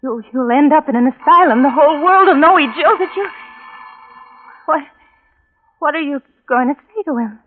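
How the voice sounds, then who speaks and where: A woman's voice: high-pitched, lively intonation, moderate pace, long pauses, a little hiss or hum. Haunted woman on tinny old radio. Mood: alarmed, pleading. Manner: earnest, dramatic, haunted. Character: anxious, horrified woman friend recounting a dark tale. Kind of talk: 1940s radio drama narration and dialogue. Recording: narrow old radio recording with music.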